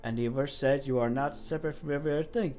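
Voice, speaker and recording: A woman voicing a solemn godlike storyteller, giving a measured, dramatic reading of game dialogue. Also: extremely low pitch, steady tone, warm tone, soft background music, voice pushed down. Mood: solemn, reverent. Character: low-voiced godlike cosmic being, solemn storyteller.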